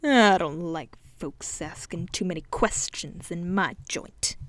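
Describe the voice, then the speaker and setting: A gruff gangster boss from an audiobook, as a woman narrator puts him on. He drops low and slows down, growling threats. Dry and close. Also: a bit bright, dry recording. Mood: menacing. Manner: menacing, growling. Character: gruff male dockside smuggler boss.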